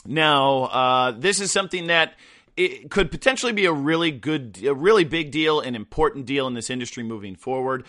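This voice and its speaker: A man hosting a podcast in casual chat, his voice hoarse and rough, rambling with many fillers. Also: medium pitch, expressive, moderate pace, pushed and bright. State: hoarse after a weekend of travel and partying. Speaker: podcast host.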